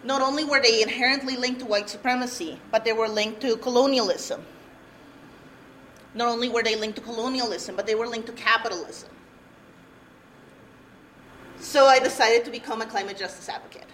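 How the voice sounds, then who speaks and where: A young woman from Peru presents a workshop, telling her own story in a candid, earnest way and letting out light nervous laughs. Her voice sits a little high. She speaks to an audience in a room without a handheld mic, with a faint hum behind her. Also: steady tone, brisk, fairly bright tone. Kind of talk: personal testimony at a workshop. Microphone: no handheld mic, room recorder.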